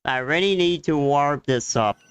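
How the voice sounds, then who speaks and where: A man's voice: a little high, normal speed, fairly bright tone, smooth, no echo. A man giving casual live commentary as he streams a video game.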